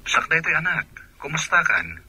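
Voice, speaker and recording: A man's voice: fairly high pitch, sing-song, moderate pace, pushed and bright, slightly rough, dry recording, soft background music, full character voice. A man acting a troubled, unfaithful husband and absent father in a radio drama. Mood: coaxing.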